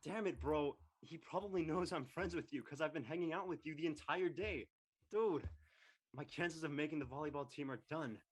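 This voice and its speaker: A man playing a brash, image-obsessed high-school boy in an amateur script reading, blunt and mocking. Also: moderate pace, slightly rough. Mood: aggrieved. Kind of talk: amateur audio drama table read.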